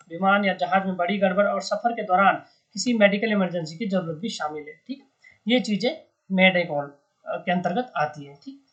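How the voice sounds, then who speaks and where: Explainer voice with dull lo-fi sound. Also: medium pitch. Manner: didactic, repeats points, frequent check-in tags. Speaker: explainer, educator. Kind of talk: informal explainer lesson on current news.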